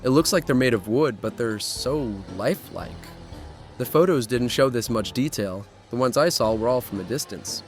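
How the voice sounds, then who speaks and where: A man's voice: mid-range voice, moderate pace, soft background music, close to an everyday voice. A man acting as a cocky, daring young urban explorer in a horror audio drama, with a breezy, cajoling, self-assured way of talking. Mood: curious.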